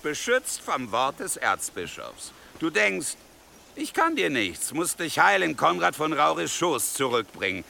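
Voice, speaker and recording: A man's voice: fairly high pitch, creaky, bright, forceful tone, exaggerated voice. A man playing an arrogant, menacing commander in a radio drama, with a taunting, imperious delivery over faint noise. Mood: cold, threatening. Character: arrogant, menacing male commander demanding obedience. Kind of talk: German radio drama acting.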